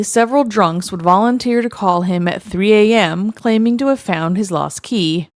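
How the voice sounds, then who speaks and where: A woman's voice: mid-range voice, no room sound, studio quality. A woman narrating first-person stories in a wry, matter-of-fact way. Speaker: narrator reading online stories.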